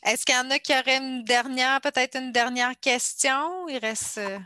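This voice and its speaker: Bright, higher-voiced Quebec woman moderating. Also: moderate pace, dry recording, clean studio sound. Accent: Quebec French. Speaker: meeting moderator. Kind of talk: informal meeting facilitation. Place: indoors, on a video call. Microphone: computer mic on video call.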